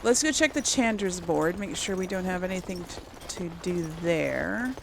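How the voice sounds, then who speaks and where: A woman's voice: on the low side, lively intonation, moderate pace, gravelly, slight background noise. A woman giving casual game commentary as a let's play host, musing aloud to herself.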